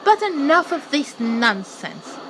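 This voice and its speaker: A haughty, commanding wife of Santa in a radio comedy. Her high voice swoops dramatically, with clear noise behind it. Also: very animated, slow, full character voice. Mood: haughty, indignant. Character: imperious, hot-tempered wife of Santa Claus. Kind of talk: radio play acting.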